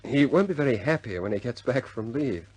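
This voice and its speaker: Husky, respectful wartime air force officer. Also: male voice, mid-range voice, expressive, fairly quick, darkish tone, dry recording, rough recording. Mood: wry. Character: loyal, respectful male air force officer, frankly understated. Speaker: squadron pilot reporting to his superior. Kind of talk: vintage radio war drama acting.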